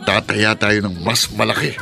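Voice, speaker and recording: Carefree Filipino gambler over loud music. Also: male voice, lowish voice, steady tone, moderate pace, vocal fry. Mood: coaxing, carefree. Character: carefree, persuasive gambler, bad-influence friend. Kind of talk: radio drama acting.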